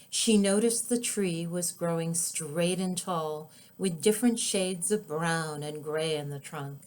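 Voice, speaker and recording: Woman, lowish voice, unhurried and drawn-out, expressive storybook reading for children. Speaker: author reading own children's book.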